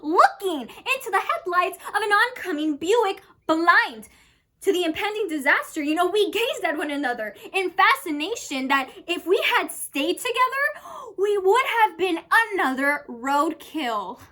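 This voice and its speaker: A woman's voice: extremely high pitch, big pitch swings, unhurried, bright, forceful tone, pitched above the performer's own voice, full character voice. A young woman playing a manic, unhinged storyteller in a theatrical dramatic monologue, erratic and breaking into laughter through her words. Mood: frantic, bitter. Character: manic, unhinged young woman telling bitter absurd stories.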